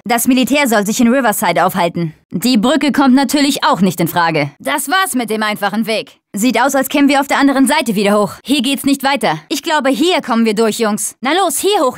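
A woman's voice: a little high, dry recording, clean studio sound, natural voice. An actress voicing a tough, wry young female survivor of a zombie apocalypse in short video game callouts.

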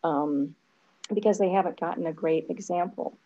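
A woman's voice: on the low side, normal speed, dark tone, muffled recording. A woman in her fifties hosting a talk over a video call from home, encouraging her guest. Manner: encouraging, many fillers. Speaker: host. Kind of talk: casual video call chat. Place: home room.

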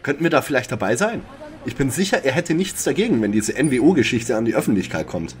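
A man's voice: mid-range voice, sing-song, a little fast, lightly acted. A man playing a skeptical, blunt schoolboy detective in a parody detective audio play.

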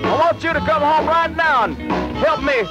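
A man's voice: very high voice, moderate pace. A man working as a radio DJ, delivering hip, playful top 40 jive patter in 1970s style. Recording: 1970 AM radio aircheck, music behind. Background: loud music.

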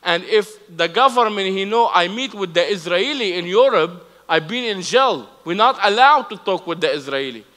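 A man in his forties with an Arabic accent speaks in a high, bright voice, telling his story from a church stage. His English is broken, and he acts out both sides of remembered conversations. The sound rings with long hall reverb. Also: expressive, moderate pace, hardly any pauses. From Palestinian, raised in Lebanon. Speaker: guest speaker. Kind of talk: testimony preached on stage. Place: church stage with live audience.

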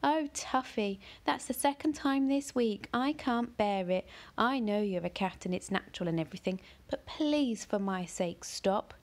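A woman voicing an upset, tearful young girl, pleading in a high voice. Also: moderate pace, few pauses, close to an everyday voice.

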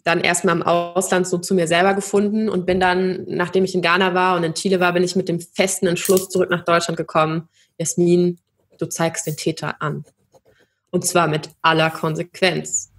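A young woman with a slightly low voice speaks slowly and reflectively. She pauses often and fills the gaps with hesitant sounds as she thinks through each sentence. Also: little pitch movement, a little hiss or hum. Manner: thoughtful, many hesitations and fillers. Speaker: podcast guest. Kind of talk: personal interview.

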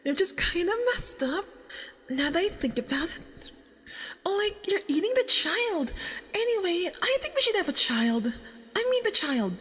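Very high, nervous yandere boyfriend, voiced by a woman. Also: sing-song, fairly bright tone, heavily acted. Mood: nervous, chatty. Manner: nervous, halting, sweetly doting. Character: nervous, clingy, obsessive young femboy boyfriend on a date. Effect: doubled audio with added reverb. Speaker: ASMR roleplay performer. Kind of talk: ASMR roleplay. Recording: long reverb, doubled voice track.

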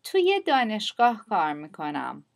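A woman speaking scripted dialogue lines for a language lesson, with crisp, lively intonation. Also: mid-range voice, very fast.

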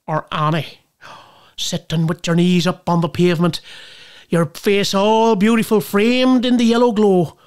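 A woman's voice: lowish voice, darkish tone. A woman with an Irish accent playing a boozy, nostalgic drinker in a colloquial, rambling theatrical monologue. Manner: wistful, colloquial rambling with bursts of playful mimicry.

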